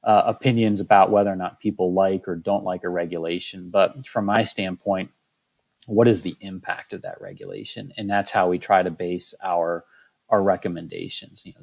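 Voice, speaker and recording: A man's voice: low voice, creaky, darkish tone. A man speaking as a state wildlife biologist in a candid interview, choosing his words carefully with frequent restarts. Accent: Michigan.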